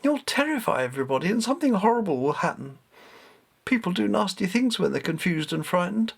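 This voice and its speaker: Storybook schoolboy, played by a grown man: worried, protesting, urgent, pitched well up. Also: very animated, brisk, creaky, husky, heavily acted. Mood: alarmed. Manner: urgent, incredulous. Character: anxious young boy, alarmed and protesting.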